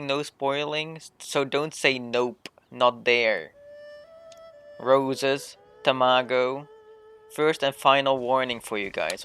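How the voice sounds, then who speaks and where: A man's voice: mid-range voice, bright, forceful tone, clear, resonant tone. A man live-streaming a game, giving blunt, informal commentary and muttering to himself and his chat. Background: faint game music.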